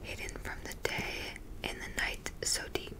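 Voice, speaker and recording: Whispering young woman, ASMR roleplay. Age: young adult. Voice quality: breathy unvoiced whisper. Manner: gentle, unhurried instructing. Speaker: ASMR performer playing a witch teacher.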